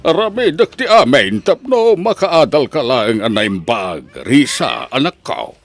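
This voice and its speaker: A man's voice: mid-range voice, very animated, unhurried, faint music behind. Man acting as poor farmer father, Ilocano accent, hopeful, earnest, swinging intonation, audio drama. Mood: earnest, tender.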